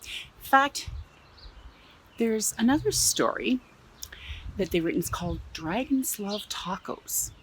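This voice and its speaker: A woman from Canada reading a children's picture book aloud in her backyard for a museum, playful and expressive, with exclaimed asides. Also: medium pitch, slow pace, long pauses, a little hiss or hum, low-quality recording. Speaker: museum storyteller. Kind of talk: children's storybook read-aloud. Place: own backyard.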